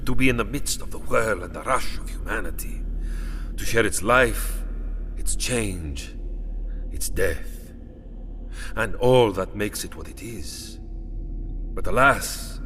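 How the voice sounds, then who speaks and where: An actor playing a courtly, grandiose old vampire count in an audio drama, speaking formally and savouring each phrase. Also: male voice, on the low side, fairly bright tone, slight background noise.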